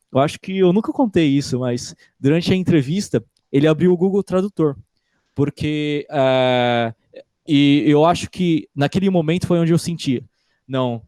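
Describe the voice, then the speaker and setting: A young man from Brazil, a guest engineer in a casual interview, talking in a relaxed way with many restarts. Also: fairly high pitch, no room sound. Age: young adult.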